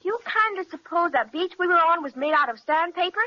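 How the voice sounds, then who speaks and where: A woman's voice: very high-pitched, expressive, a little fast. A performer voicing a spirited, curious little girl in a 1930s radio serial, chatting eagerly. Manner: chatty, eager child talk. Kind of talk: 1930s radio drama acting. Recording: dull, lo-fi old radio recording.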